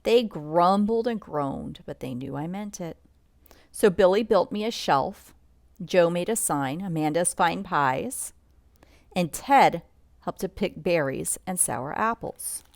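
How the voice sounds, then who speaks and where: A woman reading a children's bedtime story aloud in an expressive read-along style, sometimes commenting as she goes. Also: on the low side, slow pace, vocal fry, dry recording, clean studio sound. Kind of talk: bedtime story read-along narration with asides about pictures.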